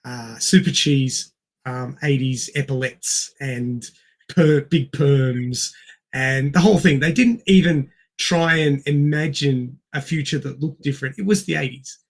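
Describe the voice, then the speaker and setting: A man's voice: medium pitch, measured pace. A middle-aged man co-hosting a podcast, casually discussing film in an explanatory way and repeating words for emphasis. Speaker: podcast co-host.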